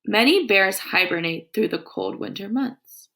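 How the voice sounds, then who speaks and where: A woman teacher with a creaky voice reading aloud to young children in an instructive way, with her own comments and questions. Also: mid-range voice, animated, a little slow, pushed and bright, slight background noise.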